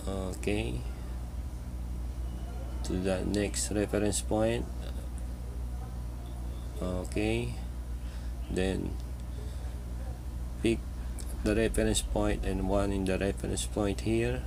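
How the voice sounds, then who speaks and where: A man narrating a step-by-step software tutorial in terse, halting short phrases. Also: fairly low pitch, steady tone, creaky, background noise.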